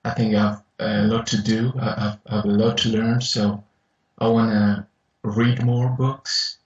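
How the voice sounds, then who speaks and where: A university teacher calling in, speaking haltingly with many restarts. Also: male voice, fairly low pitch, flat delivery, reverberant, far from the mic, muffled recording. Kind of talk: caller in a chat.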